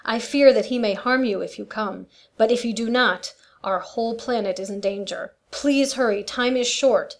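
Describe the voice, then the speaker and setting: Woman as a high-voiced, pleading queen mother. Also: moderate pace, few pauses, close to an everyday voice. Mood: urgent, pleading. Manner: grave, urgent, pleading. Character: anxious, solemn queen and mother pleading for help. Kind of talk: family radio play acting.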